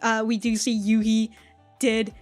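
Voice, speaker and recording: A woman hosting a casual anime reaction and review, speaking in an animated, exclamatory way and often chuckling as she talks. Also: on the high side, unhurried, faint music behind. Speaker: reaction video host.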